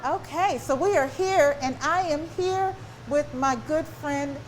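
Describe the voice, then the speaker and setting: A woman's voice: sits high, unhurried, a little hiss or hum, rough recording. A woman hosting a casual on-location interview in a kitchen. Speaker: host.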